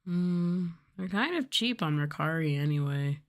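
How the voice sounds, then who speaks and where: A woman chatting softly as an ASMR livestream host, sometimes trailing off toward a whisper. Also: fairly low pitch, lively intonation, a little slow. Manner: softly spoken, now and then trailing into whispers. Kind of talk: soft-spoken ASMR livestream chat.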